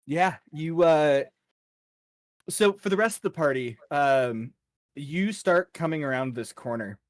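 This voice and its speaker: A man acting as game master, narrating a tabletop fantasy game off the cuff in a measured, halting way. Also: a little high, expressive, no echo.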